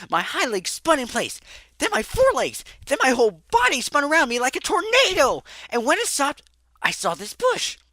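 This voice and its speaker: A woman's voice: high-pitched, bright, forceful tone, no room sound, exaggerated voice. A woman playing a perky, scatterbrained young male pony in a fan audio drama, bubbly and rambling.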